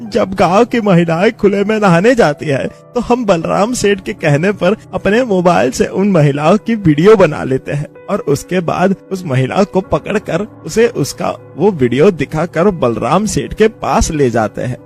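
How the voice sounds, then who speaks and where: A man's voice: sits high, expressive, measured pace, hardly any pauses, a little mellow, faint music behind. An actor voicing a shifty village man in a Hindi cartoon story, with emphatic, theatrical delivery. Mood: pleading, confessing. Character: village men, evasive headman and his caught henchmen.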